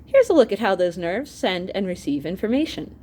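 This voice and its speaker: A woman reads an illustrated science book aloud like a teacher to students. Her mid-pitched voice moves up and down in a lively way, and she explains terms as she goes. There is a little background noise. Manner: explanatory, expressive, pausing to clarify terms.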